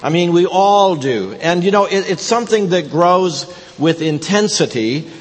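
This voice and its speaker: Korean-native pastor preaching in a reverberant hall. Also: male voice, high-pitched, animated. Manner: halting, many uh fillers and repeated words. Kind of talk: sermon. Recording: long hall reverb from the sanctuary.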